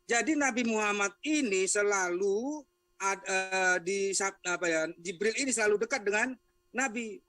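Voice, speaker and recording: A man argues as someone's advocate in an online debate. His voice is high and forceful, and he repeats himself as he defends his point. The recording is dry and close. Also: normal speed, no echo. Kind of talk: argumentative debate talk.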